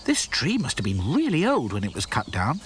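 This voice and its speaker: A man voicing a clever, bossy girl rag doll who speaks briskly and surely. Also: medium pitch, wildly swooping pitch, a bit bright, a little hiss or hum, voice pushed up. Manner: brisk and sure of herself.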